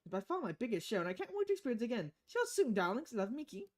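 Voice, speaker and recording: A woman's voice: fairly high pitch, very animated, very fast, darkish tone. A woman voicing a bubbly, effusive female pop star sweetly addressing her fans in a visual novel game. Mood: gushing, excited.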